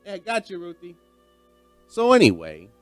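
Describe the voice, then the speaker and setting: A man's voice: sits high, wildly swooping pitch, moderate pace, clean tone, no echo. A man playing a chatty veteran barman in a radio drama, telling an uneasy mystery in a folksy, rambling way. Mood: friendly. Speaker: narrator and lead character. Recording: music behind the voice.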